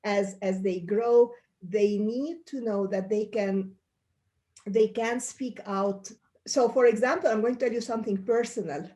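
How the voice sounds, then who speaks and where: A woman's voice: medium pitch, fairly even intonation, unhurried, warm tone. A middle-aged woman from Egypt, a pediatrician being interviewed, explaining things earnestly with frequent restarts. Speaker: pediatrician guest. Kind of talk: interview answers.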